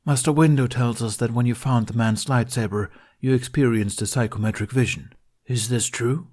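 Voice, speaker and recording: A man's voice: mid-range voice, fairly even intonation, normal speed, a little mellow, lightly acted. A man playing a formal council master with a slightly raised, firm voice. He asks his question in a precise, official way. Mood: inquiring.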